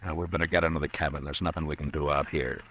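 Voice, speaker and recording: An actor playing a weary, steady US marshal who narrates in a measured, calm way, in 1950s radio drama. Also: male voice, a bass voice, a little hiss or hum.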